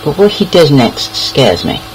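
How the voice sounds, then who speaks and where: A man's voice: fairly high pitch, big pitch swings, unhurried, a little hiss or hum, rough recording. A young British man casually telling anecdotes in a rambling, hesitant way, repeating words as he goes. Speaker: online storyteller.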